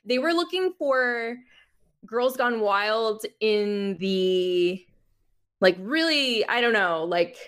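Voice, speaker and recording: A woman's voice: on the high side, lively intonation, slow pace, a bit bright. A young adult American woman from Florida talking casually as a podcast guest, in an informal, rambling way sprinkled with "like". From United States (Florida). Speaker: podcast guest, music journalist. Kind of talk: casual conversational interview.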